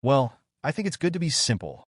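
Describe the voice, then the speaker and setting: A man voicing a soft-spoken gentle young woman. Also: medium pitch, sing-song, fairly quick, no room sound, clean studio sound, pitched above the performer's own voice. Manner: soft, gentle and warmly polite.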